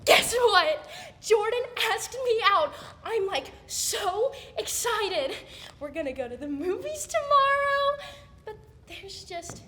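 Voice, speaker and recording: A woman performing an amateur monologue as an excitable teenage girl, gushing and wheedling her sibling dramatically. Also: very high-pitched, a little slow, slight background noise, heavily acted. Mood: excited.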